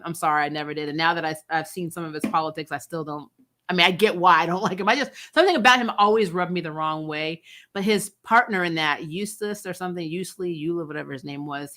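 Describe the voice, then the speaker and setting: A woman's voice: on the low side, sharp tone. A woman hosting a recap show, giving casual, opinionated fan commentary in a blunt, conversational way.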